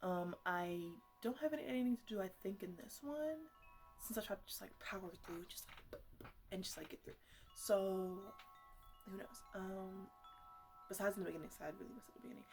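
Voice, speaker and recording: A woman's voice: mid-range voice, a little fast, a little mellow, faint music behind. A woman ASMR creator chatting casually to her listeners in a rambling, playful way.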